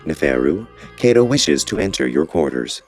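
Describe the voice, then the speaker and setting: A man's voice: extremely low pitch, big pitch swings, moderate pace, faint music behind, voice pushed down. A man voicing a formal, deferential male servant in a fantasy visual novel.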